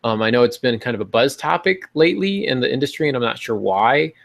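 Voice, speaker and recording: A man with a gravelly, creaky edge to his mid-pitched voice hosts a podcast. He talks casually, explaining his points in an informal, conversational way. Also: expressive, fast talker. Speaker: podcast host.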